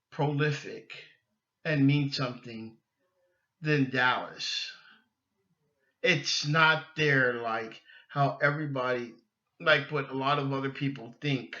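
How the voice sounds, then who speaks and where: A middle-aged man hosting a sports podcast solo, giving opinions emphatically and haltingly, repeating phrases as he goes. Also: medium pitch, sharp tone, highs cut off.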